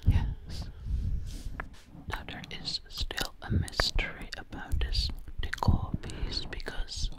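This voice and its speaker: A woman plays a sly, menacing witch who hunts for victims in an ASMR roleplay. She whispers breathily, very close to the mic. Her storytelling is very slow, lingering on words and repeating them. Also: close to an everyday voice, low-quality recording. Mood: eerie, storytelling. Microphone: close microphone.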